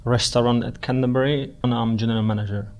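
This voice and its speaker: Flat-voiced man. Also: mid-range voice, fast talker, smooth. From Czech Republic. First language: Czech. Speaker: restaurant general manager. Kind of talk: interview answers.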